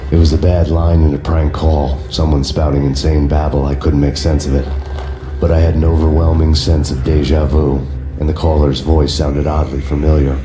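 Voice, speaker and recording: An actor playing a brooding, world-weary cop, narrating in flat, deadpan hardboiled noir style. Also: male voice, rumbling low, moderate pace. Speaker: narrator, game protagonist. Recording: roomy echo with loud music score.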